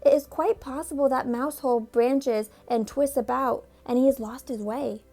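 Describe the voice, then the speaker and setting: A worried storybook mother frets in a soft, anxious, tearful voice, read aloud by a woman. Her pitch sits on the high side, with faint music behind her. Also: steady tone, moderate pace, gravelly.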